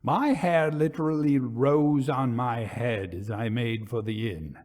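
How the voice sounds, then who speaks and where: A man narrating a gothic ghost story in a theatrical, suspenseful, measured manner. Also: medium pitch, a little mellow.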